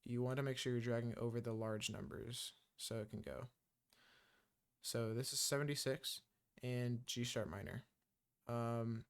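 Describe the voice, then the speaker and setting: A man walks through a beginner software tutorial in a relaxed, rambling way. He fills his sentences with ums and uhs. His voice is smooth and resonant, sitting at an ordinary middle pitch. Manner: loose and chatty, many ums and uhs. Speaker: music production tutor. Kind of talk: casual screen-recorded software tutorial.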